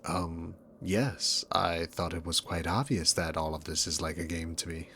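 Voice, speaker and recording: Man acting a deep, slow, sarcastic demon king. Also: flat delivery, sharp tone, a little hiss or hum, full character voice. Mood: haughty, playful. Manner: slow, mocking, condescending, theatrical drawl. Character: male demon king, sarcastic dominant villain toying with prey. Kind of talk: ASMR roleplay acting, addressed to the listener.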